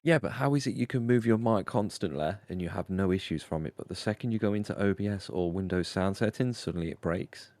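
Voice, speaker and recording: British man, low pitch, dry close sound, casual gaming banter, teasing, argumentative. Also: quick pace, hardly any pauses, darkish tone, dry recording. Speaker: gamer friend on a voice call. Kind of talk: casual banter over a game stream.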